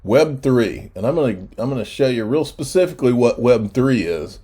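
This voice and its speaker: A man's voice: medium pitch, animated, normal speed, mellow, slightly rough. A congested man with a cold, hosting his own channel in rambling, chatty commentary. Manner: rambling, chatty, restarts often. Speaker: crypto channel host. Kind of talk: casual commentary.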